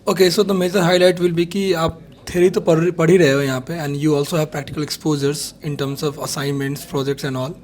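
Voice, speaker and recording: Nepali student guy in his 20s, talks fast, slightly high voice, mixes hindi words in. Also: fairly even intonation, darkish tone. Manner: quick, mixes in Hindi phrases. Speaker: student guest.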